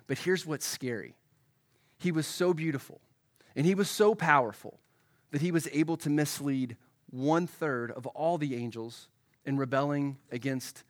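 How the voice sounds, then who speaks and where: A man's voice: a little high, a bit bright. A man preaching a sermon as a church pastor, a little froggy from a head cold, talking conversationally and putting questions to the congregation.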